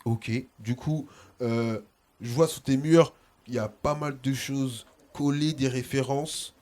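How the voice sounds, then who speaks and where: A man interviewing a musician, asking casual questions in a halting way with many restarts. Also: medium pitch, fairly even intonation, a little mellow.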